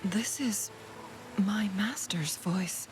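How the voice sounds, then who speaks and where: An actress playing a composed, devoted young apprentice in a video game, speaking in a soft, measured, thoughtful way. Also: female voice, mid-range voice, noisy background. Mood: hushed, pensive. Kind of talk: dramatic video game voice acting.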